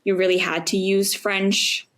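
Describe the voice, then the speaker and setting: A Norwegian-born woman in her twenties talks as a podcast guest, chatty and relaxed. Her speech is loose and full of fillers and easy laughs. Her voice sits at a middle pitch. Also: measured pace. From Norway. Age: 20s. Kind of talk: casual conversation.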